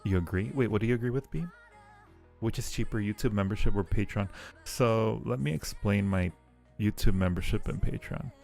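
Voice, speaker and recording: A man chatting casually with viewers as a livestream host, sometimes halting as he explains things. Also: fairly low pitch, vocal fry, a little mellow. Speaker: streamer and audio roleplay creator. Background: faint music.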